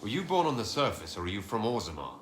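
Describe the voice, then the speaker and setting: An actor playing a self-assured leader of a fantasy order, speaking in a direct, confident way. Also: male voice, fairly low pitch, very animated, quick pace, fairly bright tone. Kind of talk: video game dialogue acting. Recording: roomy game capture, faint background noise.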